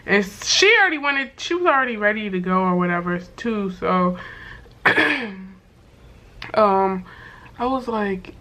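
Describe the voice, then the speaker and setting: A young woman with a voice worn hoarse from sickness, telling a story to camera in a loose, slangy way full of fillers and swearing. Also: mid-range voice, lively intonation, a little slow, pauses often, pushed and bright. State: hoarse from being sick. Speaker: vlogger. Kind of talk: casual storytime chat to camera.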